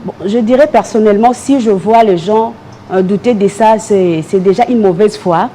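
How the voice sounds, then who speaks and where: Mellow Congolese woman in a political interview. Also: mid-range voice, normal speed, slight background noise. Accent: Congolese French. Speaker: political party member, guest.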